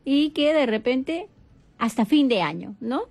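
A woman's voice: sits high, animated, unhurried, gravelly, darkish tone. A woman hosting a radio talk show, asking hesitant, rambling questions. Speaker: radio host.

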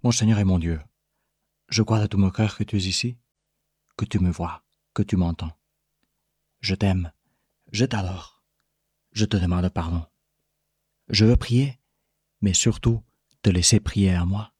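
A man recites a devotional prayer, hushed and intimate. His voice is very low and husky, recorded close and dry. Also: a little slow, pauses often, no echo.

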